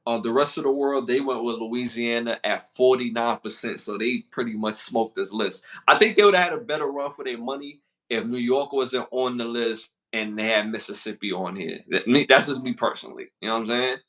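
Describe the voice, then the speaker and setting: Brooklyn man, podcast co-host, bright edgy tone, slangy and repetitive. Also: medium pitch, brisk, few pauses. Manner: slangy, repeats himself, reads out list questions. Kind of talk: casual podcast chat.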